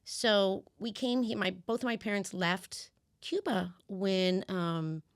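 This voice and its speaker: A woman's voice: mid-range voice, slow pace, no echo, clean studio sound. A woman coach raised in the US by Cuban parents, with Spanish as her first language, telling her story in a casual interview and acting out her past reactions. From United States, of Cuban parents. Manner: animated storyteller, acts out her own past voice. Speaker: guest, women's empowerment coach.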